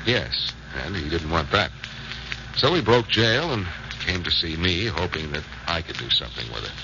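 An American actor playing a suave, wisecracking, confident detective in a 1940s radio drama. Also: male voice, low voice, lively intonation, moderate pace. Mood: explaining, earnest. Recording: muffled, noisy old radio recording.

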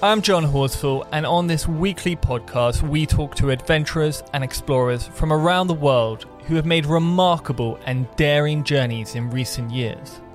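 Higher-voiced male podcast host. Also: moderate pace, hardly any pauses, faint music behind. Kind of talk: podcast interview and scripted intro.

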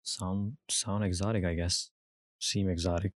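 A woman co-hosting a podcast who speaks in brief, soft-spoken replies and interjections. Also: a bass voice, fairly even intonation, a little slow, no echo, clean studio sound. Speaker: podcast co-host. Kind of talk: casual podcast conversation.

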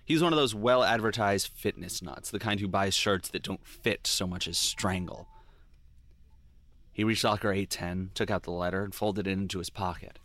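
A man's voice: low voice, normal speed, a bit bright. A man playing a brooding police detective, narrating a noir audio drama in a wry, confessional way. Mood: tense, reflective. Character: brooding male police detective recalling his past mistakes. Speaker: lead character and narrator.

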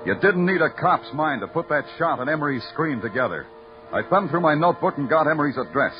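Casting: male actor as hard-boiled night-shift cop, terse, world-weary, expressive pitch swings, dull vintage radio sound. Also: quick pace, over music, lightly acted. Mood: brooding. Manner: terse, deadpan, brooding. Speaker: lead actor and narrator. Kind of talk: noir radio drama narration.

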